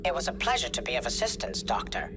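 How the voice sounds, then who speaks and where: Polite drone helper, game role: middle register, formal, obliging, noisy background. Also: male voice, mid-range voice, wildly swooping pitch, quick pace, gravelly. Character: polite, helpful robotic assistant drone. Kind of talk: video game character dialogue.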